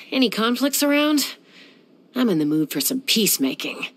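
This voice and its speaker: A woman's voice: on the high side, very animated, unhurried, fairly bright tone, heavily acted. A young woman voicing a self-assured, dryly teasing gunslinger who flies and settles disputes, in video game voice lines. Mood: cool. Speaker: game character.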